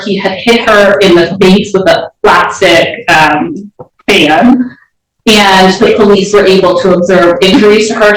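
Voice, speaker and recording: A woman prosecutor making a formal, methodical argument to a judge in a courtroom. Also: medium pitch. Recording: very echoey courtroom, distant mic.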